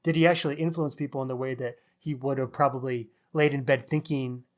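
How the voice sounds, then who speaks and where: A man's voice: medium pitch, steady tone, a little fast. A man co-hosting a book discussion in a casual, musing, conversational way. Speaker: podcast co-host reviewing crime novels.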